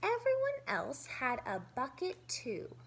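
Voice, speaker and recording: A woman reads a children's story aloud as a teacher would, pausing to explain things simply. Her voice is fairly high and very animated, at an unhurried, slow pace. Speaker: teacher reading aloud to pupils. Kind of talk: expressive children's story read-aloud with asides.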